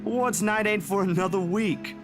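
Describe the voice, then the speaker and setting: A man's voice: high voice, moderate pace, over music, close to an everyday voice. A man acting a downhearted but sincere young man in a visual novel, speaking casually and a little hesitantly. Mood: sincere, reassuring.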